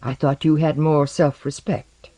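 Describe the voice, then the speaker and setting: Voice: medium pitch, flat delivery, a little slow, mellow, no echo, natural voice. A reader as a stern woman challenging someone. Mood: indignant. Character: stern, indignant woman, clinical and self-possessed.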